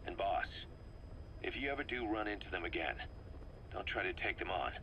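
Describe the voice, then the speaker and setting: A man's voice: sits low, animated, moderate pace, dull audio, a little hiss or hum. An actor playing a bitter middle-aged mercenary commander in a video game, briefing his boss in a terse, intense way. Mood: grim, bitter. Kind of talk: dramatic video game acting, expository briefing.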